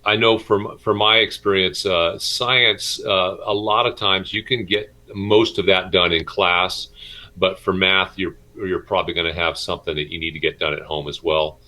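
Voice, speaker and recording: A middle-aged man, a schoolteacher, answering interview questions informally in a halting, hesitant way with many pauses. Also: low-pitched, fairly even intonation, sharp tone. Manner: halting, hesitant delivery with frequent pauses and repeats. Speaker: middle school math and science teacher.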